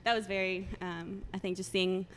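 A woman in her late twenties who grew up in El Salvador with Spanish as her first language, chatting as a guest with many soft ums. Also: medium pitch, steady tone, normal speed, a little hiss or hum. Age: 20s. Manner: soft-spoken, many ums, laughs often. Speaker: guest photographer. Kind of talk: casual interview chat.

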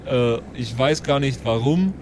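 A man's voice: mid-range voice, expressive, a little slow, background noise, lightly acted. A man playing a cocky, dim sixteen-year-old petty thief in a tabletop game, hesitant and full of swaggering slang. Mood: awkward, sheepish.

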